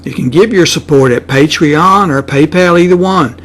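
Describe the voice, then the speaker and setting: A man's voice: on the high side, normal speed, a bit bright. A man reading a scripted announcement for a channel.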